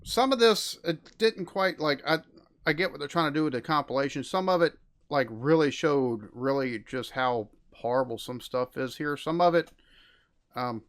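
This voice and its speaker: A man's voice: a little high, normal speed, fairly bright tone. American man, reaction host, rambling and exasperated commentary. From United States. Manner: rambling, exasperated, lots of fillers. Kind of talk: casual commentary.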